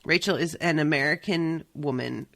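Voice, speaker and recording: A woman hosting a podcast, chatting casually about a book with quick, irreverent asides and the odd swear word. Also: sits low, creaky, a bit bright, dry recording. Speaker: podcast host. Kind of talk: casual chat.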